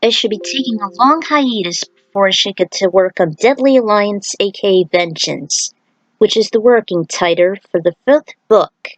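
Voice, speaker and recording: A woman narrates her own fan-made story series at a middling pitch. The recording is dry and close, with very little room sound, and the delivery is that of a storyteller reading from a script. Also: moderate pace, no room sound, rough recording. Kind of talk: scripted narration with casual outro remarks.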